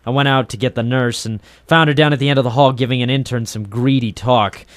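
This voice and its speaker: A sardonic, hard-boiled man of the docks, played by a male radio actor, with a medium-pitched voice and a deadpan delivery. He tosses out wisecracks in classic late 1940s noir style. The sound is that of an old radio drama. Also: fast talker, dry recording, close to an everyday voice. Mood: wry, deadpan. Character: cynical wisecracking male private troubleshooter of the docks.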